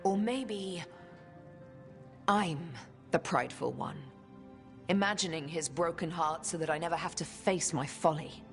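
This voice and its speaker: A solemn elf woman in a fantasy game drama. Her voice is low, measured and reflective, with a wistful weight to it. Faint music runs beneath. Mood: heartbroken, wistful. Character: solemn, wistful female elf inquisitor, once betrayed in love.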